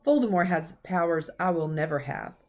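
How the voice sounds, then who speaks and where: A woman voicing a gentle, calm, whimsical old male wizard in a storybook reading. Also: fairly low pitch, normal speed, close to an everyday voice. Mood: calm, amused.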